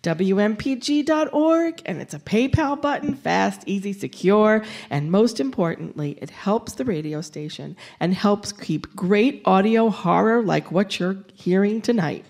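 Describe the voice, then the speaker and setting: A woman from a community radio station giving a fundraising pitch live on stage. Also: animated, a little slow, gravelly, hardly any pauses, a little mellow.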